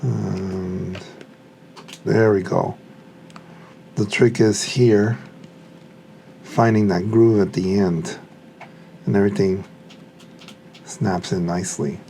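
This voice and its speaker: A man's voice: lowish voice, steady tone, slow pace, creaky, pauses often, mellow, slight background noise. A man giving casual hands-on unboxing commentary, muttering to himself as he works with his hands. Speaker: hobbyist reviewer.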